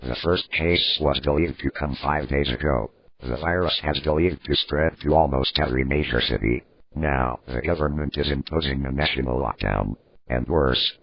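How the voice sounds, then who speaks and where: Male alert announcer, extremely low voice, formal synthetic read, slightly muffled and dry recording. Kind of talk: scripted emergency broadcast narration. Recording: dull, slightly lo-fi dry audio.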